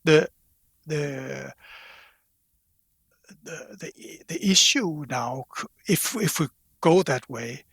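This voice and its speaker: Higher-pitched man, husky and halting. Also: a bit bright, no room sound, clean studio sound. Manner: halting, repeats words while thinking aloud. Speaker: podcast guest from a loudspeaker company. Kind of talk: casual interview talk.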